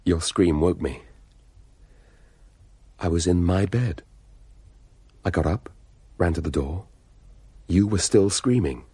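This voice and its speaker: A man's voice: low-pitched, slow pace, pauses often, very noisy. An actor playing a grave, brooding middle-aged English father who recounts events in a radio play. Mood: brooding, intense. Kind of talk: radio play acting, hushed narration.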